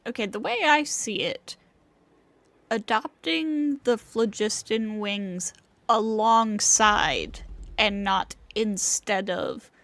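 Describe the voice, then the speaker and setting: A woman streamer giving casual live commentary on a game, thinking aloud in a halting way. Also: mid-range voice, a bit bright, no echo.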